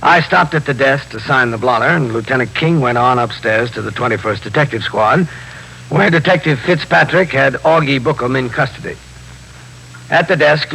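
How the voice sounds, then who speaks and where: An American actor playing a stern, plain-spoken New York police captain in a 1950s radio drama. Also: male voice, mid-range voice, gravelly, bright, forceful tone. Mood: businesslike. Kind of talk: 1950s radio drama acting and first-person narration. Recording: noisy 1950s radio broadcast recording.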